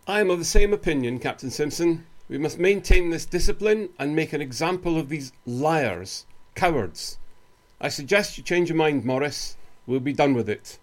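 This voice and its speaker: Man acting a curt senior army officer, higher-voiced. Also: animated, moderate pace, close to an everyday voice. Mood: stern. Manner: curt, scornful, authoritative. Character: stern, impatient senior British army officer presiding over court-martial. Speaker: actor in a radio play. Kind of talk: radio drama acting.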